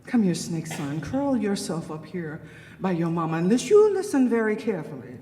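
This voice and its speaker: A man voicing a stern, scandalized mother snake who lectures her son in a deliberate, insistent way for a story. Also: mid-range voice, very animated, mellow, a little hiss or hum, voice pushed down, close to an everyday voice. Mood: sly instruction. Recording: long hall reverb.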